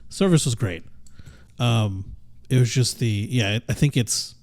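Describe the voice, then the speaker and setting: A man's voice: mid-range voice, lively intonation, measured pace, gravelly, a little mellow. A young man co-hosting a podcast, chatting in a rambling, laid-back way and swearing casually. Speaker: podcast co-host. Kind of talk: casual chat.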